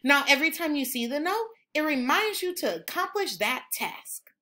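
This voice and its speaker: A woman teacher reads a picture book aloud to young children. Her voice is fairly high and bright, with lively swings in pitch. She explains things expressively and instructively. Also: normal speed. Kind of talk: animated children's read-aloud.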